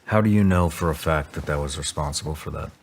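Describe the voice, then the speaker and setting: A man's voice: very deep voice, fast talker, a little hiss or hum. A man hosting an interview, asking short, plain, probing questions in a conversational way. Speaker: interviewer, podcast host.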